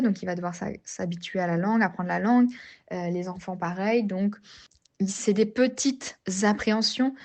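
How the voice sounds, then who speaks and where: A woman's voice: lowish voice, a little mellow. A woman, talking reflectively as a podcast guest, with many hesitations and restarts. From Geneva region, Switzerland. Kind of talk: personal story in a podcast interview.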